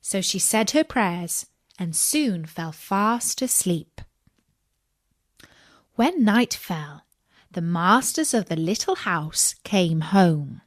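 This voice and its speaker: A woman reading a scripted fairy tale as storyteller, in a measured, formal storybook manner. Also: on the low side, lively intonation, dry recording, clean studio sound.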